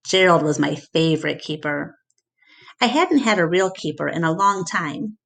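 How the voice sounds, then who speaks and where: A woman reading a children's story aloud as expressive narration. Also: on the low side, moderate pace, creaky.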